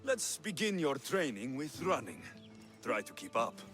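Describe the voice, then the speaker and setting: Video game role: confident young Spartan mercenary, animated delivery, light music behind. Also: male voice, medium pitch, unhurried. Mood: confident, instructive. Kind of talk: scripted video game cutscene acting.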